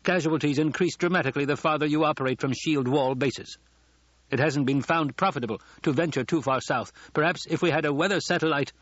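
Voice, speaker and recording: Man voicing a precise, cautious scientist, pitched up. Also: a little fast, dry recording, lo-fi sound, natural voice. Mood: cautious, factual. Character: male planetary scientist, dry, authoritative, cautious. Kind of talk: audiobook character acting.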